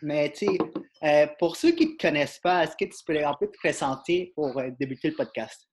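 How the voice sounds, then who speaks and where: A man's voice: a little high, expressive, moderate pace. A man hosting a podcast, asking questions in a loose, informal way. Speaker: podcast host. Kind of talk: casual interview questions.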